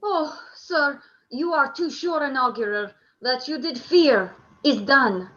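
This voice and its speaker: Woman as a high-voiced formal messenger, muffled. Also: expressive, takes it slowly, a bit bright, full character voice. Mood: grave. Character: dutiful, formal messenger serving Caesar. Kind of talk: theatrical Shakespearean verse in a radio play.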